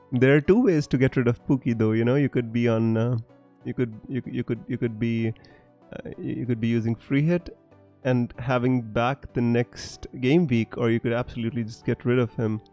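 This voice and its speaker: A man's voice: medium pitch, fairly even intonation, normal speed, mellow, highs cut off, faint music behind. A young man from Punjab with a mixed, hard-to-place accent, chatting casually on a live stream, reading comments with many fillers and restarts. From Punjab, India. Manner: chatty, frequent fillers and restarts, laughs often. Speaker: fantasy football streamer.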